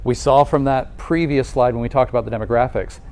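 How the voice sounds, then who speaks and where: Male presenter, mid-pitched, lively conversational delivery with rhetorical questions, live audience in the room. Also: brisk. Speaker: presenter at a nursing college welcome event.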